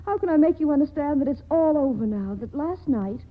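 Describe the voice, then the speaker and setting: An earnest, pleading young woman; high, breathy, 1940s radio, tinny broadcast sound. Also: animated, brisk. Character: earnest young woman torn in love, Monica. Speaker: actress.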